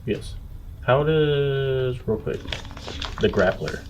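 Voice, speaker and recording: A man's voice: mid-range voice, takes it slowly, lo-fi sound, slight background noise. A man chatting casually as a player in a tabletop role-playing game.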